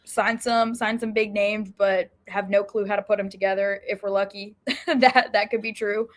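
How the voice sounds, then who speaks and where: Woman, sports podcast co-host, mid-range pitch, casual analysis, thoughtful, self-correcting. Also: steady tone, normal speed.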